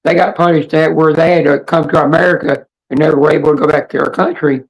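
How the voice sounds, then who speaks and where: A woman with a hoarse, raspy voice hosting a casual livestream chat in a rambling, halting, blunt way. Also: deep voice, fairly even intonation, poor audio. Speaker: livestream host.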